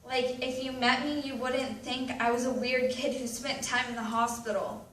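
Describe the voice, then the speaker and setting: A woman's voice: high-pitched, flat delivery, normal speed, fairly bright tone. A woman acting an anxious, self-loathing teenager in a dramatic readers theatre monologue. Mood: sad, confiding. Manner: dramatic, emphatic delivery. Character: anguished, anxious, self-loathing teenager writing confessional letters. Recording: roomy, distant mic with background noise.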